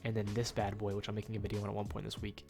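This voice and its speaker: A man's voice: fairly low pitch, completely flat delivery, rapid-fire, vocal fry, over music. A young man with a nasal, stuffed-up voice giving rambling, casual commentary while he plays a game at home, full of ums and uhs. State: nasal from a cold. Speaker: gaming YouTuber.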